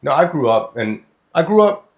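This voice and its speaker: A man's voice: a little high, big pitch swings, a little fast. A young man from Lebanon co-hosting a podcast, talking bluntly and crudely, swearing as he tells stories and gives opinions. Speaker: podcast co-host. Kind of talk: casual opinionated podcast chat.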